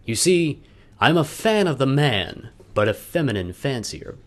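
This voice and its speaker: A man's voice: medium pitch, sing-song, measured pace. A man speaking in a wry, self-deprecating way with joking asides, as in a personal video made by its creator. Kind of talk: casual vlog intro, then loose dramatic reading of a personal letter.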